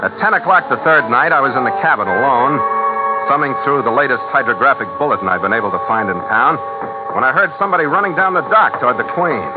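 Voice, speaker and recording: A hard-boiled American sea captain played by a man tells his log with dry, clipped wit. It is old radio drama, with a narrow, tinny sound and music loud behind him. His pitch sits in the middle for a man. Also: lively intonation, quick pace, close to an everyday voice. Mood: wry, storytelling. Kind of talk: 1940s radio drama acting, scripted narration.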